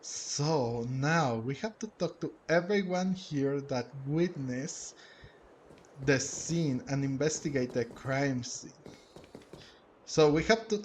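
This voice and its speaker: A man giving casual commentary on a game playthrough in a halting, rambling way. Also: on the high side, slight background noise. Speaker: gaming video host. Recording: faint music behind.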